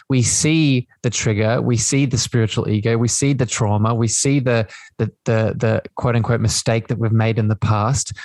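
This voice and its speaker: A man talks as the host of an interview podcast, with a medium-pitched voice and very little room sound. He is earnest and affirming, explaining at length and repeating phrases to stress them. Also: a little mellow, no room sound.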